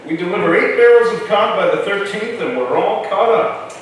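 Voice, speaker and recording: A man's voice: high voice, lively intonation, moderate pace. A man playing a confident, plainspoken colonial fishing captain in a staged reading. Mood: assured. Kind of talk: staged historical drama reading. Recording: echoey hall from far off, noisy.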